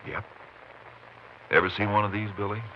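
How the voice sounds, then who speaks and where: An American man playing a steady, friendly Texas Ranger in a 1950s western radio drama, speaking in folksy, clipped phrases. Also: lowish voice, normal speed, natural voice. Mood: gentle, reassuring. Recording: muffled, noisy 1950s radio recording.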